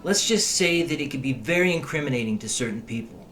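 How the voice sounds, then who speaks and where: An actor in an audio drama playing a cagey, pushy, touchy informant, insistent and edgy in manner. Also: male voice, medium pitch, animated, quick pace, a bit bright, soft background music, close to an everyday voice.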